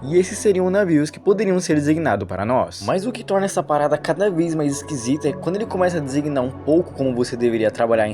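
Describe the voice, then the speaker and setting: A man with a Brazilian accent narrating an informal storytelling recap with commentary, as the narrator of a horror series. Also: on the high side. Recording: music behind the voice. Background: background music.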